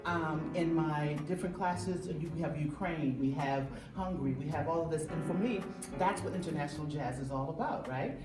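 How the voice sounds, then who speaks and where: Enthusiastic teacher in an echoey hall. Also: female voice, deep voice, moderate pace, hardly any pauses. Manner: enthusiastic, talks with hesitations. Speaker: music teacher. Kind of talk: casual chat. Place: echoey school building. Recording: echoey room, distant mic, music behind.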